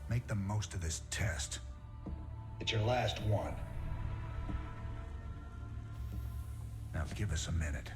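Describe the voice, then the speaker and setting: A man's voice: low-pitched, animated, moderate pace. Intense, devoted rebel man. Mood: low, firm. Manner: earnest, intense. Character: gruff, devoted rebel man pleading with his lover. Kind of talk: dramatic video game cutscene acting. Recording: roomy, with loud music behind.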